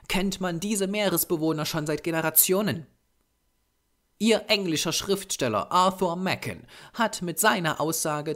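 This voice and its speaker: Man playing a grave, ominous lore-teller, lively pitch. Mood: grave, explanatory. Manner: solemn, measured, insistent. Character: grave Japanese man, ominous, urgent storyteller of dark lore.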